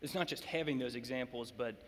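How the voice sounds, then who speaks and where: A man seated on a stage, asking long, measured questions at a mid pitch. Long hall reverb surrounds his voice. Faint room noise sits under it. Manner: measured, sets up questions with context. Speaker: interviewer. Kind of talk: onstage interview questions.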